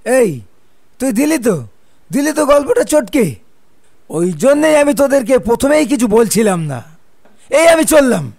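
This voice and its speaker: A man playing a touchy, bossy village storyteller uncle who scolds huffily in an animated story. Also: extremely high pitch, measured pace, gravelly, long pauses, exaggerated voice. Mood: huffy. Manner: scolding, huffy.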